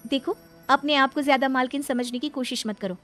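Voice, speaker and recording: A woman's voice: high-pitched, a little fast, fairly bright tone, soft background music. A woman voicing a wronged young daughter-in-law in a cartoon family drama, earnest, indignant and dignified. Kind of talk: dramatised cartoon story dialogue.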